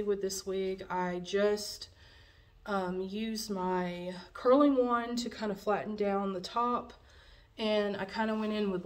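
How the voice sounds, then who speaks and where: A young woman with a cold, a little nasal, chatting through a wig review at home with lots of fillers, recorded on a camera in front of her. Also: medium pitch. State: has a cold, slightly nasal. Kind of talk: casual product review vlog. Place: dim room at home, indoors.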